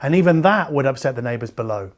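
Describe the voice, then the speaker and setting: Guy doing a casual explainer vlog, chatty, medium pitched voice. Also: lively intonation, fast talker. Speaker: host of an audio review channel.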